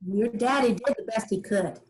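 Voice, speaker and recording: A woman playing a firm, loving old farm grandmother in a staged play reading, giving brisk, kindly orders in plain rural dialect. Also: a little high, a little mellow, close to an everyday voice. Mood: tender, resigned.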